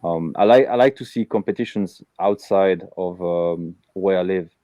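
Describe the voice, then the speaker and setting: A man's voice: low voice, moderate pace, dark tone, no echo. A man from Belgium hosting a casual live interview, talking with many fillers and restarts. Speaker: host.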